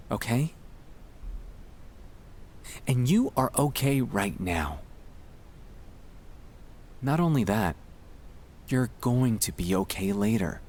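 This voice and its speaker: Male, low voice, very slow delivery; gentle, soothing ASMR comfort read over faint low rumble. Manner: gentle, soothing, hushed, reassuring. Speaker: ASMR sleep-aid narrator. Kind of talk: ASMR guided relaxation, scripted comfort monologue.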